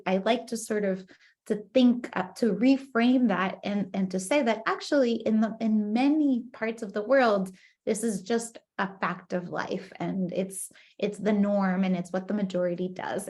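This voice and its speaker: Woman lecturing on a video call. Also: medium pitch. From Costa Rica. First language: Spanish. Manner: friendly, many ums and restarts. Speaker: guest speaker, researcher. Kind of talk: casual online lecture. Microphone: laptop mic on video call.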